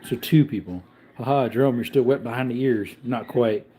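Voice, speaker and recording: A man's voice: fairly quick, mellow. A man in his thirties hosting a casual livestream from his home man cave, rambling and reading out viewer comments. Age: 30s. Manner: high baby-talk when calling his dog. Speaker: livestream host.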